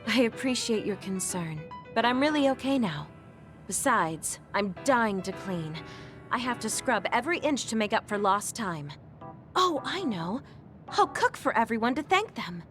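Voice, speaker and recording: An actress playing a gentle, polite and self-effacing young woman in a video game. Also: a little high, sing-song, moderate pace, faint music behind. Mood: thoughtful, reassuring. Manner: polite, apologetic.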